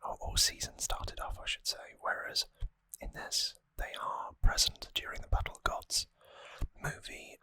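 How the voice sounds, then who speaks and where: ASMR host: fully whispered, bright and breathy delivery, musing trivia commentary, close dry mic. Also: rough recording. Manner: musing, conversational trivia talk. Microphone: close microphone, dry sound.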